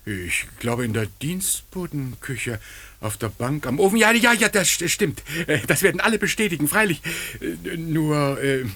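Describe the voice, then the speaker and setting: Jittery polite steward in an old radio play, stammers, husky but tense voice. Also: male voice, a little high, expressive, moderate pace, bright, forceful tone, exaggerated voice. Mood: nervous, flustered. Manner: stammering, polite, nervous chuckles. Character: nervous, flustered male estate steward. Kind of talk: 1950s German radio play acting.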